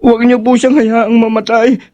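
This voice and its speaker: A man's voice: extremely high pitch, little pitch movement, moderate pace, a little mellow, muffled recording. An actor playing an anxious young boy pleading for his older brother in a horror audio drama.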